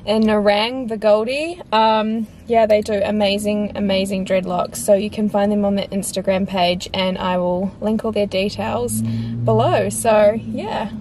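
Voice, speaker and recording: A woman's voice: mid-range voice, fairly even intonation, a little slow, darkish tone, slight background noise. A young Australian woman chatting casually and slangily to camera in an upbeat way, vlogging from inside a car. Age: young adult. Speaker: travel vlogger.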